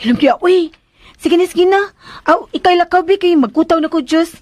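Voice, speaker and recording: A woman's voice: very high voice, normal speed, a little mellow, no room sound. A Filipino woman playing a flustered, eager-to-please young housemaid in a radio drama, plaintive and hesitant. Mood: anxious, obliging. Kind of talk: Philippine radio drama acting.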